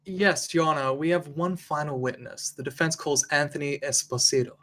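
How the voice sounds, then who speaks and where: A man's voice: on the high side, steady tone, moderate pace, fairly bright tone, lightly acted. Man reading a formal defense attorney. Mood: polite, formal. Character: polite defense attorney questioning a witness. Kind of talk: screenplay table read.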